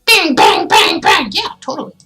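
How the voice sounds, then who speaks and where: A woman's voice: extremely high pitch, animated, normal speed, pushed and bright, soft background music. A woman from Canada giving casual, wry and sarcastic commentary as she streams a game.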